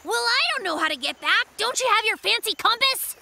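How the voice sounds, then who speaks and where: An actor voicing an eager, adventurous little polar bear cub in a children's cartoon, with an excitable, exclamatory delivery. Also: extremely high pitch, fairly quick, creaky, slight background noise, full character voice. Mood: deflated. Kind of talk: children's animated cartoon dubbing.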